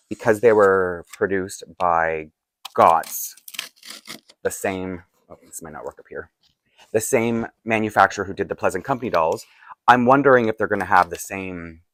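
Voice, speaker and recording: A man with a low, groggy voice talks casually as if showing items to viewers. He is chatty and wanders between thoughts, often cutting himself off with little asides. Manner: chatty, self-interrupting asides, chuckling. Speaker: doll collector and unboxing vlogger. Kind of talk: casual rambling unboxing chat.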